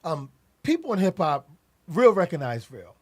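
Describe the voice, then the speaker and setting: A man's voice: a little high, big pitch swings, normal speed, darkish tone. A man interviewing an artist, asking long questions with frequent restarts and repeated words.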